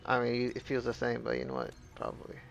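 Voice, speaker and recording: A man's voice: mid-range voice, monotone, fast, vocal fry. A man chatting casually and in a rambling way while live streaming a game. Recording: dull lo-fi mic with game music. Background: faint game music.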